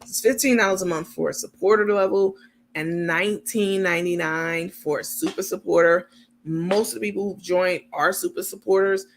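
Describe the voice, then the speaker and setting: A man's voice: high voice, steady tone, moderate pace, creaky, slight background noise. A man hosting a casual livestream, chatting with his viewers and walking them through his screen step by step, with lots of ums and an easy irritation at small annoyances. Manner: chatty, explains step by step while sharing screen; many filler ums, gets irritated at small details. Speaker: channel host.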